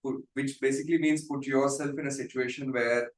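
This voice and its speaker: A man moderating a session, speaking loudly without a microphone so that his voice is picked up from across the room. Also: mid-range voice, steady tone, brisk. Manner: raises his voice to be heard. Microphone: no microphone, voice caught from a distance. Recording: distant, roomy, off-mic voice.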